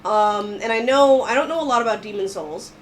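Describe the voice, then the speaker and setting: A woman's voice: on the high side, a little fast. A woman co-hosting a casual gaming podcast, talking in an informal, storytelling way. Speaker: podcast co-host. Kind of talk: casual chat about gaming. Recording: background noise behind voice.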